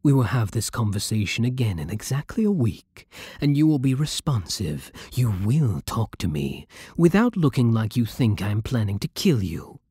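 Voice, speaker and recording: A seductive, imperious vampire king played by a man speaks with a British accent in a soft, very breathy voice. His tone is dark and mellow, with expressive swings in pitch as he gives possessive commands. It is an intimate ASMR roleplay with a dry, close sound. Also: normal speed, no echo. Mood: commanding, hushed. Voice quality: breathy, hushed.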